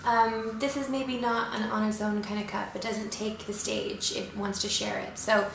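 A woman's voice: mid-range voice, level tone, normal speed, creaky, a bit bright, recorded from a distance. A woman in her thirties co-hosting a casual coffee-review chat, playful and bubbly, a little bossy with her friend, sitting on a couch in a living room and heard from a camera a few feet off. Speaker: co-host. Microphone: camera a few feet away. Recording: echoey room with audible hiss.

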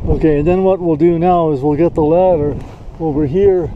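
A man's voice: a little high, steady tone, moderate pace. A man giving casual, practical work instructions to helpers, thinking aloud in short phrases outdoors. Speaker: work crew leader. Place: outdoors on a snowy windy mountain. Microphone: body-worn action camera. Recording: muffled action camera, loud wind noise.

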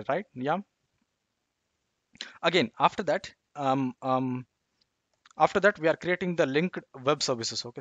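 A man's voice: fairly high pitch, fairly bright tone, no echo. A man presenting a tech tutorial, talking through code off the cuff, with many fillers and repeated "okay, yeah" tags. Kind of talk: unscripted screen-share code walkthrough.

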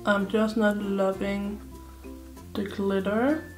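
A young woman with a slightly hoarse voice, chatting casually through a makeup tutorial at home. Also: medium pitch, little pitch movement, slow, darkish tone, faint music behind. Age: young adult. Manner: chatty, thinking aloud while applying makeup. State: slightly hoarse, sore throat. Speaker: beauty vlogger. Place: indoor room at home. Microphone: close camera facing her.

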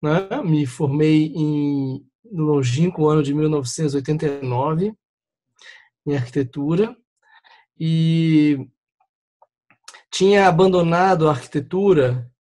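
A man's voice: on the high side, slow pace, pauses often. A Brazilian man in his fifties, an architect, introducing himself casually and in a friendly way on a web seminar. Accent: Brazilian Portuguese. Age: 50s. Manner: relaxed, friendly greetings with fillers. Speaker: architect and panelist. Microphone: video call.

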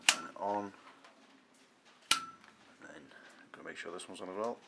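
A man's voice: deep voice, moderate pace, vocal fry, heavy background noise. A man with a Northern English accent chatting casually while exploring a derelict building, recorded on an action camera. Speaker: urban explorer. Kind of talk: casual on-the-spot chat. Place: abandoned building, indoors.

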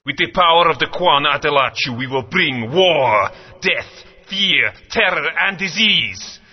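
A man voicing a grandiose male alien conqueror who slowly declaims threats of war in a video game. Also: on the high side, expressive. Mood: menacing. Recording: muffled audio with long reverb.

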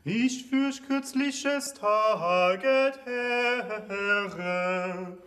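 A man performing a medieval knight and minstrel who slowly recites and sings a dawn song over music. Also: high-pitched, echoey room. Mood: solemn.

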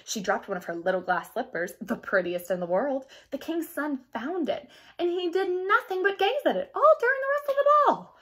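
A haughty young stepsister from a storybook, as a woman reader acts her out. The voice is high and very animated, with scornful, exaggerated emphasis and stretched words. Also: normal speed. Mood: gossiping. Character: haughty, mocking young stepsister in a fairy tale.

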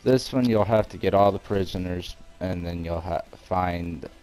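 A man's voice: sits low, measured pace, a little mellow, faint music behind. A man giving a casual video game walkthrough, explaining things step by step in an informal way. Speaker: gamer presenting a walkthrough.

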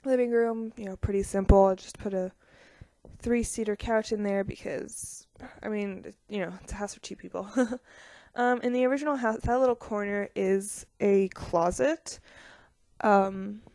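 A woman's voice: medium pitch, steady tone, normal speed, dark tone, no room sound. A young woman casually talking over her building video game footage, rambling with lots of ums and small laughs.

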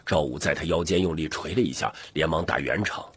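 A man's voice: very deep voice, a little fast, fairly bright tone, husky, no room sound. A man reading dramatic scripted fantasy narration as an audiobook narrator.

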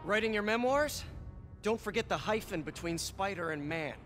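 An actor playing an upbeat, wisecracking young superhero in a video game, quippy and energetic. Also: male voice, high-pitched, moderate pace, over music. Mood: cheeky. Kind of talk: video game cutscene acting.

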